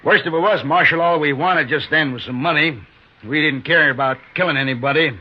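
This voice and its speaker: An American actor playing a brutal, mocking outlaw boss in a 1950s radio western, with a folksy, sardonic drawl. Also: male voice, a little high, a little fast, lightly acted. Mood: folksy, boastful. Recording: muffled, noisy 1950s radio broadcast.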